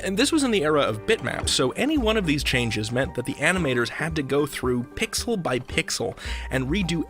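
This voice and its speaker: A man's voice: on the high side, a bit bright. A man hosting a podcast in a scripted, conversational storytelling style, playful and theatrical in his emphasis. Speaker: podcast host. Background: music bed.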